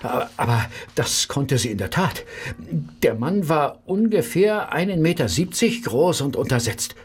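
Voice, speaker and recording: A man's voice: fairly high pitch, big pitch swings, a little slow, husky, heavily acted. An actor playing a deferential police officer reporting eagerly to his superior in a radio drama. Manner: respectful, emphatic reporting.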